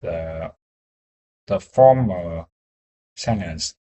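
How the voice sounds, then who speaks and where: A man with a Vietnamese accent talks through English practice in a low, unhurried voice. He speaks very slowly, pauses to hunt for words and often restarts his sentences. Each phrase comes out careful and hesitant. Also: animated, mellow. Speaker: English learner. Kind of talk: conversation practice.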